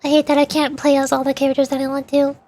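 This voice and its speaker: High-voiced woman, casual streamer chat. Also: completely flat delivery, fast talker, darkish tone. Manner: rambling, informal, playful. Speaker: VTuber streamer. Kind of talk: casual livestream chat about games.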